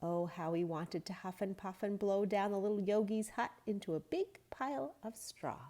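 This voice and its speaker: A woman reading a children's story aloud in a gentle, expressive, unhurried way. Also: medium pitch. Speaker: storybook reader.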